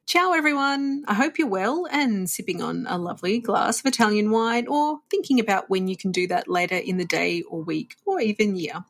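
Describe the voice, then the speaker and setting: A woman's voice: mid-range voice, expressive, moderate pace, few pauses, faint music behind. A woman hosting a travel podcast, upbeat and conversational, chatting with her guests. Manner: upbeat, conversational, gives tips. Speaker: podcast host.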